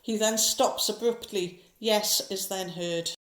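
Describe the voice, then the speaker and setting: Echoey woman narrator, medium pitch. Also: slow, a bit bright. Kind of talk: scripted narration of a horror audio drama.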